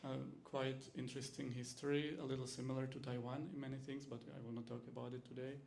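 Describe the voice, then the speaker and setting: A man's voice: medium pitch, level tone, fast, vocal fry, darkish tone, slight background noise. A man from the Czech Republic with a Czech accent, a university lecturer giving a teaching demonstration in English, his second language, with frequent fillers and restarts.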